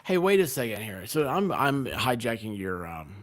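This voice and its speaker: A man's voice: mid-range voice, sing-song, moderate pace. A man chatting casually as a livestream guest, rambling and self-deprecating. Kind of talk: casual chat.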